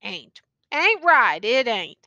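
A woman's voice: high-pitched, big pitch swings, takes it slowly, bright, forceful tone, dry recording, pitched above the performer's own voice, full character voice. A woman voicing a wounded, tattered male soldier who rambles on in a slurred, folksy dialect. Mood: pleading, plaintive.

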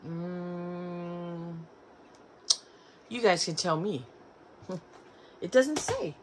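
A middle-aged woman with a low voice chats through her thrift finds, unhurried and a little stumbling as she reads the packaging aloud. She sits close to a camera in a room at home lined with shelves, with a faint hiss of room noise behind her. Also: expressive. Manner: chatty, reads back covers aloud, stumbles on names. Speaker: collector showing a thrift haul. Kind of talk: casual haul video. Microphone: camera in front, seated in armchair.

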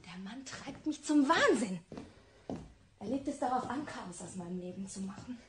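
A woman's voice: on the high side, animated, moderate pace, background noise, close to an everyday voice. A woman playing a volatile, lovestruck bohemian actress exasperated with her lover, theatrical and swinging between muttering and outbursts, in a German radio drama. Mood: irritated.

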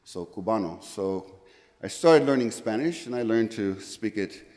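A man's voice: on the low side, expressive, unhurried, darkish tone. Middle-aged American man lecturing in a hall. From United States. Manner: rambling, self-correcting, frequent "okay?" check-ins. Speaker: conference speaker. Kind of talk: conference presentation. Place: large lecture room, indoors. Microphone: handheld microphone. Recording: reverberant hall.

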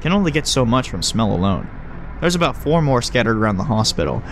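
A man's voice: medium pitch, sing-song, fast talker, a little hiss or hum. A man playing a wry, teasing creature that passes as a human mentor in a horror audio drama. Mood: matter-of-fact. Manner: wry, breezy, teasing, coaching. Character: flippant, chummy man who is secretly a swarm of bugs.

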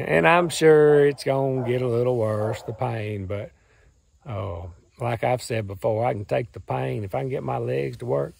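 A hoarse man in his thirties with a Southern US accent, rambling cheerfully in a folksy way to a phone camera while standing outdoors in the woods. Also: mid-range voice, a little mellow, slight background noise. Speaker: farm and hunting channel host. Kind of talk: casual vlog talk to camera. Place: outdoors in woods beside a truck. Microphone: phone camera at arm's length.

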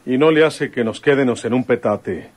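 A man's voice: medium pitch, moderate pace, a little mellow, natural voice. An actor playing a humble rural lawman in an old Mexican radio drama, with a northern Mexican accent and a folksy, deferential manner. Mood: determined.

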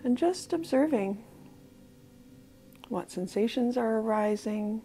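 A woman with an American accent, slowly guiding a body scan meditation in a soft, calm voice. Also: a little high, a little hiss or hum. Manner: slow, soft-spoken, calm guidance with pauses. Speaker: mindfulness guide.

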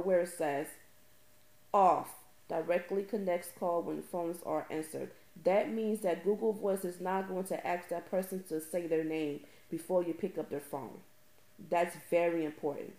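A woman's voice: on the low side, warm tone, roomy sound, poor audio. A woman presenting an informal step-by-step tutorial, emphatically repeating key phrases for stress.

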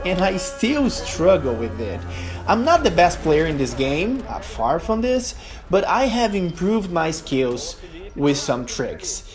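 A young man from Brazil with a Brazilian Portuguese accent, speaking English as a second language as he narrates a gaming tutorial in an earnest, emphatic way. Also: sits high. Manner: earnest, emphatic, non-native phrasing. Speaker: gaming youtuber. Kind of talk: gameplay tutorial voice-over.

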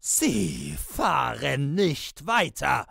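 A man's voice: a little high, big pitch swings, drawn-out words, vocal fry, fairly bright tone, husky, no room sound, studio quality, pitched above the performer's own voice, heavily acted. A man voicing an enraged, indignant man who bellows helpless curses in a dramatised literary story. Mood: screaming rage. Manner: bellowing, ranting in exclamations and insults.

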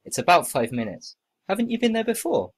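A man acting out short scripted everyday dialogues for a listening test, with plain, expressive conversational reading. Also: sits high, fairly quick, husky.

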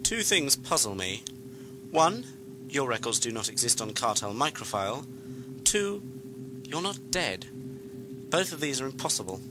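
An actor playing a cold, officious regime interrogator in an audio drama. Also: male voice, mid-range voice, very animated, a little slow, pauses often, noisy background. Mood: cold, puzzled.

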